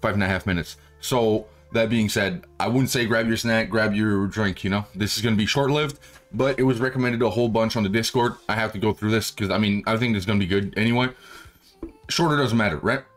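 A young adult man hosting a reaction video with casual commentary in a husky voice, recorded close up at his desk. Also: lowish voice, fairly quick.

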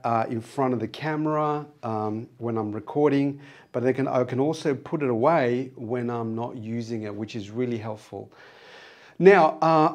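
A man's voice: mid-range voice, a little mellow. A middle-aged man with a moderately nasal voice, chatting casually and in a rambling way as he explains things in a vlog. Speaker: vlogger. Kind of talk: casual vlog, gear walkthrough. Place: small acoustically treated home studio, indoors. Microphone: wireless clip-on mic on chest.

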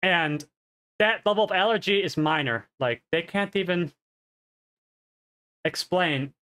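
Stuffed-up nasal guy rambling on his headset, kinda high voice. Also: unhurried, pushed and bright. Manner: rambling, self-correcting. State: congested, coughing. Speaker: streamer. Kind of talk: casual health update. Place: home streaming room.

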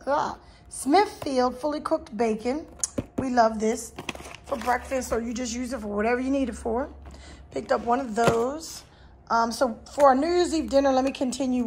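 Chatty lady showing off her groceries in the kitchen, high airy voice, lots of um and you guys. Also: slightly rough. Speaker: grocery haul vlogger. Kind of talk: casual chat to camera. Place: home kitchen.

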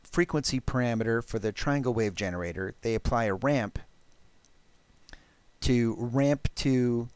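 A man giving a live technical walkthrough of code as a presenter, explaining deliberately and haltingly with pauses. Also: medium pitch, smooth, dry recording. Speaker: presenter of a programming talk.

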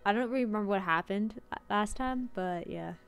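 A woman's voice: medium pitch, a little fast, clean tone. Woman streamer reading aloud over light music. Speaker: streamer playing a visual novel. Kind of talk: read-aloud story narration with casual asides. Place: indoor streaming room. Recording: music behind the voice.

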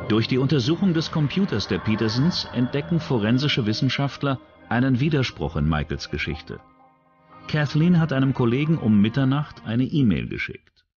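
A man reading scripted true-crime documentary narration in a measured, dramatic way. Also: medium pitch, dull audio.

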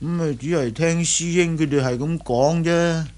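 High-pitched fawning, stammering coward played by a man. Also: normal speed, a little mellow, natural voice. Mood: nervous, cringing. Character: servile, boastful, cowardly young Shaolin disciple man. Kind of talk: Cantonese radio drama acting.

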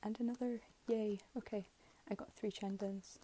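A young woman with a slightly hoarse voice, giving low-key, halting commentary on an unboxing. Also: steady tone, dark tone, slight background noise. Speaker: K-pop album unboxing vlogger. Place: bedroom, filming items on a bed.

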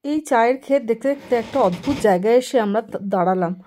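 A woman's voice: fairly high pitch, racing, mellow, a little hiss or hum. A woman giving voice-over narration of a trip, describing the views in an admiring way.

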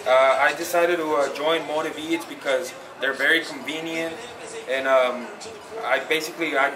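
A man's voice: fairly high pitch, fairly even intonation. Young customer guy, kinda hesitant, roomy gym sound w/ crowd. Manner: hesitant, halting. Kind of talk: short testimonial. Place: indoor gym. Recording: echoey gym, crowd noise.

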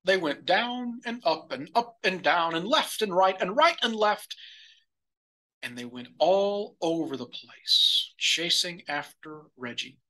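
A man narrates a children's storybook for storytime. His delivery is slow and deliberate, with lively swings in pitch. The voice is bright and forward. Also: low-quality recording. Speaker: storybook reader.

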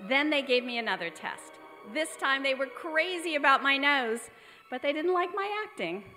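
Earnest, candid young woman for radio drama, bright voice, theater reverb with faint music. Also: sits high, expressive, normal speed. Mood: light, playful. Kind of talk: old-time radio drama acting on stage. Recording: echoey theater hall, music behind.

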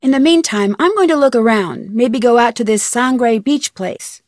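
A woman's voice: fairly high pitch, moderate pace, no room sound, studio quality, natural voice. An actress playing an inquisitive, polite young woman detective in an adventure game, speaking earnestly. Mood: curious, concerned. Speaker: video game lead character. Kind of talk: scripted adventure game dialogue.

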